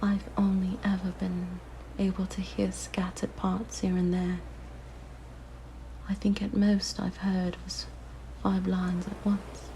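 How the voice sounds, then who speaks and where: A woman voicing a lonely, curious, predatory siren in an ASMR roleplay, with a soft, breathy, mostly whispered voice and a hushed, musing, gently menacing manner. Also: mid-range voice, completely flat delivery, takes it slowly, poor audio. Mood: shy, fascinated. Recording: faint background noise.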